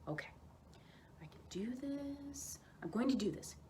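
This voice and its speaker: A woman's voice: high voice, expressive, unhurried, mellow, slight background noise, pitched above the performer's own voice. A determined male ostrich from a storybook, acted out by a woman. He mutters and coaxes himself along, frustrated yet persistent. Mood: frustrated, resolved. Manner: muttering, self-coaxing.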